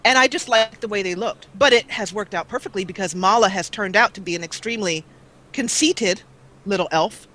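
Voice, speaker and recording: A woman guest on a live call-in interview, talking about her gaming videos in an animated, rambling way with frequent laughter. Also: medium pitch, fairly quick, rough recording. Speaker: guest, let's player. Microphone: call-in line.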